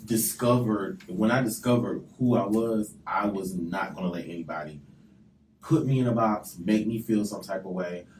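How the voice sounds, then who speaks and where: A man with a lowish voice talks earnestly as a guest in a studio interview, often restarting and repeating words. He sits back from the mic, so his voice sounds roomy and distant, with a faint hum of ambience behind him. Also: normal speed, a little mellow. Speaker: guest rapper and actor. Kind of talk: radio interview. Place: radio studio. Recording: echoey room, off mic.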